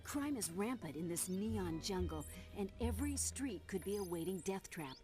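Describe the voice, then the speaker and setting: A woman playing a playful, brisk spy mission handler in a video game. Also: mid-range voice, lively intonation, lightly acted. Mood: dramatic briefing. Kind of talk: video game briefing and dialogue. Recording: game music behind the voice.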